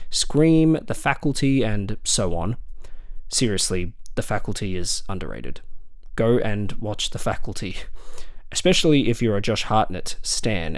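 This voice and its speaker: A man's voice: mid-range voice, a little mellow, no echo. Male film reviewer, wry and dry, emphatic asides, close dry sound. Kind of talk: semi-scripted video review to camera.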